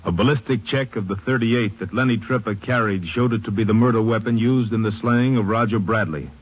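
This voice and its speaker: A man's voice: on the low side, fairly quick. A man narrating a scripted case epilogue in a formal, factual 1950s radio style. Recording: muffled, faintly noisy old radio recording.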